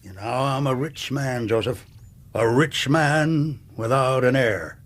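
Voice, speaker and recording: An actor playing a middle-aged, willful, sardonic rich uncle with an American Southern accent in a radio drama. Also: male voice, medium pitch, slow, close to an everyday voice. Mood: businesslike. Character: hard-drinking, willful rich ex-Confederate uncle, gruffly sardonic.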